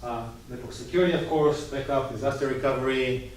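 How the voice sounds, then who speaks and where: A man's voice: mid-range voice, a little fast, a little hiss or hum. A man with an Israeli accent giving an informal, joking talk as a guest speaker at a coworking space. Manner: informal and joking, short chatty asides. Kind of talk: casual presentation to a small audience. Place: coworking space event room. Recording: echoey room, mic at a distance.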